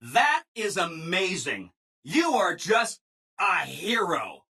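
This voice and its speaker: An actor playing a relentlessly cheerful, eager-to-please robot assistant in a video game, with a chipper, ingratiating, singsong delivery. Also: male voice, high voice, huge pitch swings, slow, vocal fry, sharp tone, breathy edge, heavily acted. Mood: eager, delighted. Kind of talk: video game character dialogue.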